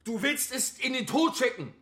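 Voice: high-pitched, animated, normal speed, fairly bright tone. A performer playing an outraged, disbelieving parent in a radio play, accusing and indignant, heard with a roomy echo. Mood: furious, accusing.

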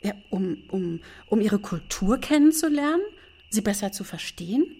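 A woman's voice: mid-range voice, big pitch swings, normal speed, warm tone, husky. A woman acting a middle-aged wife and mother in a radio play, earnest, pedantic and easily put on the defensive.

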